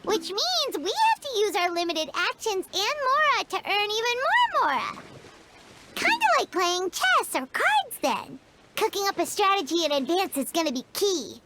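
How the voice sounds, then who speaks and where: A woman's voice: extremely high pitch, very animated, normal speed, noisy background. A woman voicing a tiny, childlike fairy companion in a video game, chirpy and eager. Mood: thoughtful. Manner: chirpy, talks of self in third person.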